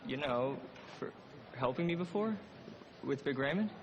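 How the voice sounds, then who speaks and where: An actor playing a timid, awkward young prison inmate in a cartoon film, hesitant and stammering. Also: male voice, mid-range voice, lively intonation, normal speed, muffled recording, crowd noise, lightly acted. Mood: nervous, grateful.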